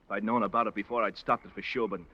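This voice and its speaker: An actor playing a bitter, cornered ex-convict and wife killer, halting and rough in speech, in a 1950s radio crime drama. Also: male voice, on the low side, fairly even intonation, sharp tone. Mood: bitter resignation. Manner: halting, rough colloquial grammar. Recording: muffled vintage radio recording.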